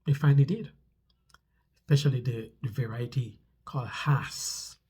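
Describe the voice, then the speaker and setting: Husky, stuffy-nosed man, mellow voice. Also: mid-range voice, normal speed. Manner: conversational, repeats phrases for emphasis. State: stuffy nose from a cold. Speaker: vlog host. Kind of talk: casual explanatory talk to viewers.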